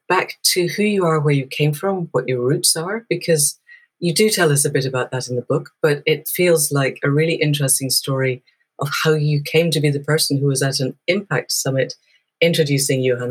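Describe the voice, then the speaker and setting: A woman's voice: low-pitched, slightly rough. A woman hosting an interview, asking curious, encouraging questions. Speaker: podcast host.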